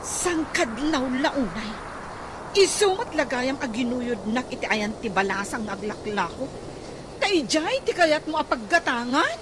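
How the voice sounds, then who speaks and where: Loud background noise, woman acting as scolding Ilocano villager, high animated voice, drawn-out, radio comedy. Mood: suspicious. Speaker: comedy drama actor.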